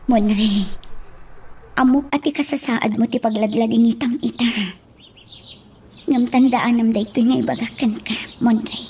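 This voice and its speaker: A woman's voice: on the high side, fairly even intonation, measured pace, pauses often, mellow, recorded from a distance, a little hiss or hum. A woman playing a worried, pleading woman who consoles someone in a radio drama. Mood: anxious, consoling.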